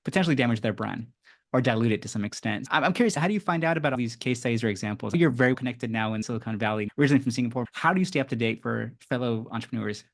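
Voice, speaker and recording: A man hosting a business podcast, asking questions and adding brisk comments. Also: mid-range voice, creaky. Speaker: podcast host. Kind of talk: podcast interview.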